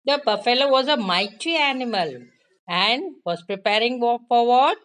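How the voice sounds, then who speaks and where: A woman with an Indian accent telling a story to young children, with deliberate phrasing and questions to the listeners. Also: a little high, animated, a bit bright.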